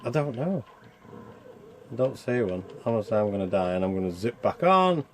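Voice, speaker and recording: A man's voice: on the low side, animated, moderate pace, slight background noise. A man giving casual, chatty commentary over gameplay, thinking aloud and asking himself questions as a reviewer. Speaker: video game reviewer.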